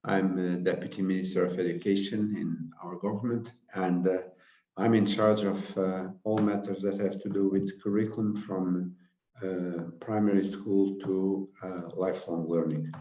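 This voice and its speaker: A man's voice: low-pitched, level tone, moderate pace, warm tone. A man from Portugal, a government education official, introducing himself formally on a video call. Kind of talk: self-introduction. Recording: dull echoey call audio.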